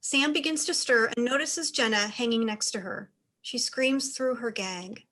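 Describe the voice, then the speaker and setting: A woman's voice: a little high, normal speed, a bit bright. A woman narrator reading stage directions in scripted narration for a play reading.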